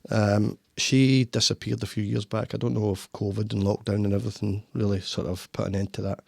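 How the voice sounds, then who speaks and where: Gravelly Scottish man hosting a podcast. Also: lowish voice, little pitch movement, a little fast, dry recording, studio quality. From Scotland. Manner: warm, rambling, talks with frequent restarts. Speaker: podcast host. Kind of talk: casual podcast chat. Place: home studio.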